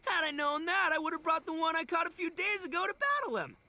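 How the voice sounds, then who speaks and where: A man's voice: very high-pitched, expressive, fast, noisy background. A man voicing a brash, boastful young oni with a big heart in a video game, talking in brotherly slang. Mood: excited.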